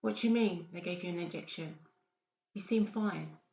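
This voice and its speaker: A woman's voice: lowish voice, moderate pace, creaky, mellow, a little hiss or hum. A woman playing a blunt, sceptical friend who questions everything, in a dramatised audiobook. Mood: concerned, questioning. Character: Sam, blunt, sceptical female friend and fellow escapee. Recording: roomy, slightly dull sound.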